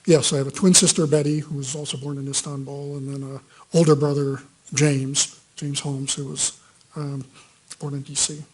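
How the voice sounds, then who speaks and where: Middle aged guy on the witness stand, soft and hesitant, kinda husky, flat delivery. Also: mid-range voice, moderate pace, rough recording. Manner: hesitant, soft-spoken, many uh fillers. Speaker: trial witness. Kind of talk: courtroom testimony. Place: courtroom. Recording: courtroom microphone.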